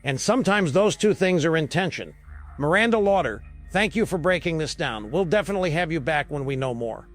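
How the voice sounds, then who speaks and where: A man's voice: fairly high pitch, expressive, brisk, natural voice. A man playing a concerned, conversational interview host in a scripted audio drama. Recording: music bed under the voice.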